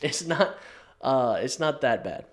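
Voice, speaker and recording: A man's voice: mid-range voice, expressive, measured pace. A man in his early twenties co-hosting a podcast, joking casually with a friend in profane, slangy talk. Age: early 20s. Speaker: podcast co-host.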